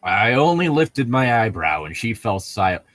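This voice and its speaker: A man's voice: mid-range voice, sing-song, normal speed, a bit bright, no room sound. A man reading fan fiction aloud in a casual group, breaking off for sarcastic, nitpicking comments.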